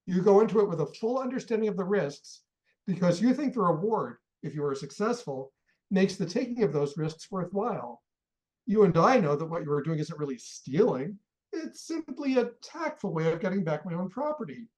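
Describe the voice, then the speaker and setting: A woman's voice: lowish voice, lively intonation, normal speed, a little mellow, lightly acted, rough recording. A woman acting a blunt, shrewd and commanding rich employer in a radio play. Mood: stern, warning.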